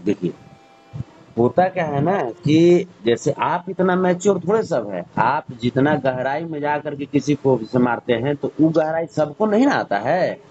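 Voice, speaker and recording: Male caller, high husky voice, Bhojpuri-region Hindi accent, insistent and repetitive, muffled call recording. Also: animated, a little slow, darkish tone. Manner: insistent, repeats himself and talks over the other man. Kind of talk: argumentative phone conversation.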